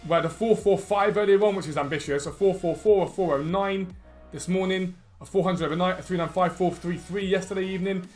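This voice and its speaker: Brief: man, hoarse from losing his voice, fairly high-pitched and rapid, casual tutorial delivery over faint music. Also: fairly even intonation, a little mellow. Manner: quick, casual, reads out prices in runs. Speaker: gaming video creator. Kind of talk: screen-recorded gaming tutorial.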